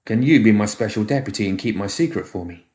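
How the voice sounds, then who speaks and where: A man's voice: lowish voice, quick pace, darkish tone, natural voice. Man playing a nervous, coaxing courier, roomy sound. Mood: secretive, coaxing. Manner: coaxing, politely careful. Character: nervous newspaper courier, a man placating a child.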